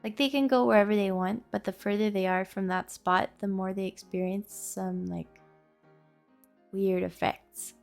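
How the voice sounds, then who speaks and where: A woman acting an affectionate, playful young girlfriend in an intimate audio roleplay, speaking softly and chattily. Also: mid-range voice, fairly even intonation, moderate pace, gravelly, soft background music, natural voice. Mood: explaining, hesitant. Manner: soft, tender, chatty with teasing asides.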